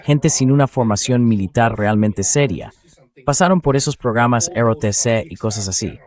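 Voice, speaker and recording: A synthetic male voice with a fairly low pitch, dubbed over an interview. He speaks as a guest commentator, blunt and dismissive, with a sardonic edge to his political and military analysis. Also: a little mellow. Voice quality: synthetic AI dubbing voice.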